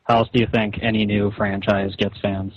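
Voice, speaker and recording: A man's voice: on the low side, level tone, moderate pace. A man arguing on a phone call in a blunt, condescending, persuasive manner. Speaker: rival webcomic creator. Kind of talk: phone argument. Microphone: telephone. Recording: muffled echoey phone call.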